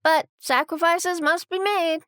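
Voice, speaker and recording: A woman's voice: very high voice, steady tone, unhurried, fairly bright tone, dry recording, studio quality. A woman playing an eerily cheerful, polite squatter in an audio drama, speaking with mannered, formal phrasing. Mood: serene, proud. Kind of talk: audio drama dialogue acting.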